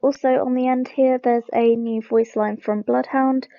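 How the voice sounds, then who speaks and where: A woman's voice: high-pitched, fairly even intonation, measured pace, no echo. A woman hosting a game lore video, explaining it informally as she goes. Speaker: host of a game lore channel. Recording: dull lo-fi close mic.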